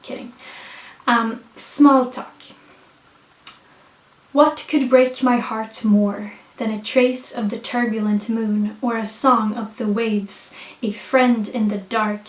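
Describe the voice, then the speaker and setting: A young woman from Sweden with a Swedish accent, chatting in a hyper, self-mocking way with many fillers and restarts, then reading her own poems slowly. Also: on the high side, steady tone. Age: young adult. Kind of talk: rambling casual chat and slow poetry reading. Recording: echoey room, voice a bit far from the mic.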